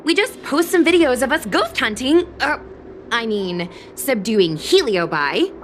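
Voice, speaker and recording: A woman's voice: fairly high pitch, big pitch swings, a little slow, a little hiss or hum. A woman voicing a chatty, confident young girl influencer in an anime game, breezy and persuasive. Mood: boastful, persuasive. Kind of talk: anime game dialogue acting.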